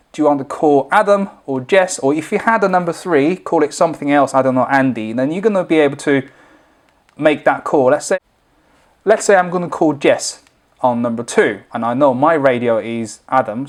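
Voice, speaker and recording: A man's voice: a little high, moderate pace. Man giving an informal step-by-step tutorial. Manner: step-by-step, unscripted explaining. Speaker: product demonstrator.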